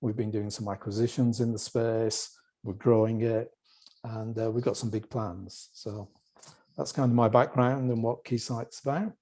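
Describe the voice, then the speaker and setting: Mellow-voiced British man, chatty guest. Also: mid-range voice, moderate pace. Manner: relaxed, chatty, joking asides. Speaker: guest executive. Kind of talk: conversational interview answers.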